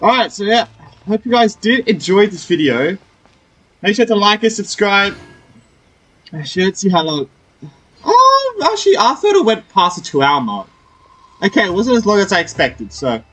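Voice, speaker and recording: A man's voice: sits high, sing-song, moderate pace, long pauses, fairly bright tone, rough recording. A young man giving casual let's-play commentary, rambling and often trailing off. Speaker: gaming YouTuber. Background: game music.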